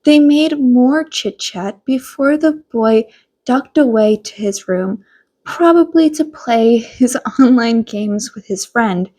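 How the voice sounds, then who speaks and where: A woman's voice: on the high side, lively intonation, takes it slowly, mellow. A woman reading a scripted story aloud as an amateur audiobook narrator.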